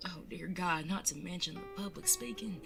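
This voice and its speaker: A woman plays a jittery young bandit about to be married, in a slightly low voice. The character is devoted and earnest, speaking haltingly in a western audio drama over clear guitar music. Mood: worried. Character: nervous young bandit groom, devoted, earnest, on wedding day.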